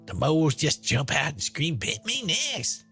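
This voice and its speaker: A man's voice: a little high, very animated, measured pace, sharp tone, slightly rough, soft background music, heavily acted. A man voicing a brash, smug, chain-smoking woman in a dramatized monologue, sneering, profane and boastful. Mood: sardonic, defiant.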